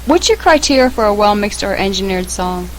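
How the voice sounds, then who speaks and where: A woman's voice: mid-range voice, expressive, fairly quick, slight background noise, rough recording. A woman interviewer asking a band member questions in an encouraging, upbeat way.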